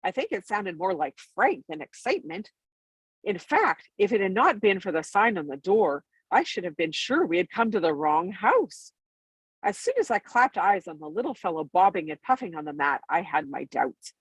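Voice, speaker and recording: Storybook dwarf skeptic played by a woman: grumbling, dismissive, husky, lively intonation. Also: fairly low pitch, normal speed, dry recording, poor audio. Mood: scornful, doubting. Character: gruff, doubting, scornful male dwarf.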